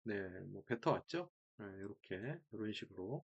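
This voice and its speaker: A man's voice: on the low side, lively intonation, moderate pace, breathy edge. A man presenting an informal screen-share tutorial, thinking aloud in short fragments and repeating key words, recorded with dull sound. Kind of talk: informal screen-share demo, talking through steps.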